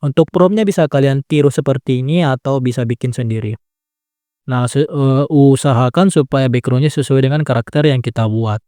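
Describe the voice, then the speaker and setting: A man giving a relaxed, step-by-step phone app tutorial, talking casually and often checking in with the viewer. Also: mid-range voice, moderate pace, no room sound, studio quality. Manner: relaxed step-by-step explaining, frequent "nah" and "ya kan" tags. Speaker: tutorial creator.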